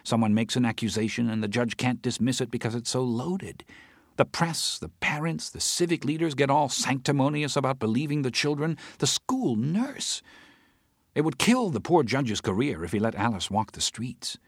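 An exasperated, sarcastic woman in an audiobook, as a male narrator raises his voice to play her. She talks in heated, scornful rants, and her pitch lifts well above his own low register. The sound is close and dry. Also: expressive, no echo. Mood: indignant. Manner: indignant, rapid sarcastic rants. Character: agitated outspoken adult woman friend. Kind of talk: audiobook character voice.

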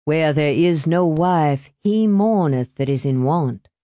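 A woman voicing a smooth, pious, grandiloquent man in a storybook reading, with a slow and pompous manner. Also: deep voice, mellow, no echo. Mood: solemn. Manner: slow, pompous, scripture-quoting.

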